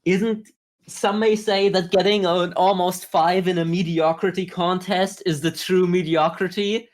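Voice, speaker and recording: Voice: mid-range voice, steady tone, unhurried. A podcast co-host talking casually through a review, with informal, sweary phrasing.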